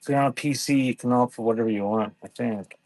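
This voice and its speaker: A man running a casual live-stream sale, talking in an offhand, halting way and thanking viewers by name. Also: mid-range voice, darkish tone, no echo. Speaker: live online seller.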